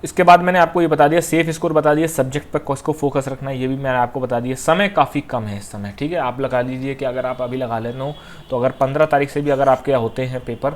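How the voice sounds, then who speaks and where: A man's voice: medium pitch, fast talker. A man gives informal exam advice to students as a coaching teacher, instructively repeating key points and checking in often.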